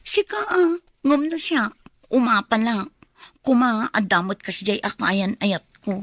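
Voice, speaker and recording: A woman's voice: a little high, lively intonation, measured pace, dry recording, studio quality. An actress playing an envious, demanding young girlfriend in a radio drama, with an Ilocano Filipino accent. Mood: wistful, sulky.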